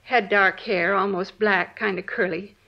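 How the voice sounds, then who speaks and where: A woman's voice: mid-range voice, fairly even intonation, measured pace, vocal fry. A middle-aged American housewife in an old radio police drama. Her mid-range voice is plain-spoken and aggrieved, with short phrases, on a slightly muffled vintage recording. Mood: recalling. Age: 40s. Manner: plain-spoken, dropping sentence openings. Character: shaken, indignant forty-two-year-old housewife, crime victim. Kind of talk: 1950s radio drama acting.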